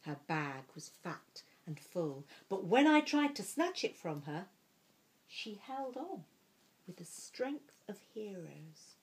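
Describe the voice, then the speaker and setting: A woman reading a picture book aloud with expressive, deliberate delivery, letting some phrases fall hushed and breathy. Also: mid-range voice.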